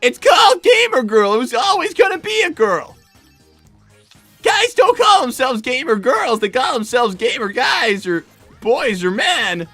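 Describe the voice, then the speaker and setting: A performer voicing an excitable young fox boy, a flustered older brother who stammers and argues on an in-character gaming livestream. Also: high-pitched, a little slow, no echo, faint music behind, heavily acted. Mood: exasperated. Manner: stammering, exasperated, argumentative.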